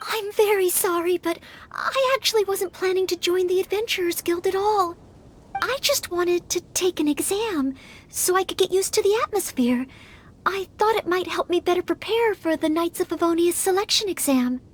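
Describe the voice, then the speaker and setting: Very high, timid young maid. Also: female voice, normal speed. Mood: flustered, apologetic. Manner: earnest, hesitant, apologetic. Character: timid, polite, eager-to-help young maid knight trainee. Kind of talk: video game character acting.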